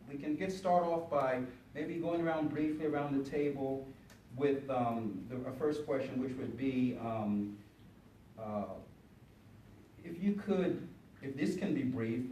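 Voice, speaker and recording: A man's voice: mid-range voice, mellow. Older man moderating in an echoey room. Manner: hesitant, filler-heavy, with restarts. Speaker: moderator, university teacher. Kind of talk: panel moderation. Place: panel room with audience. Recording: echoey room, far from mic, noisy.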